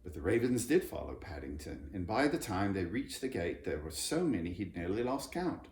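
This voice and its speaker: Low-voiced man reading a story, animated. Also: normal speed. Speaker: storybook reader. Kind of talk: expressive scripted children's story narration. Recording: roomy echo, background noise.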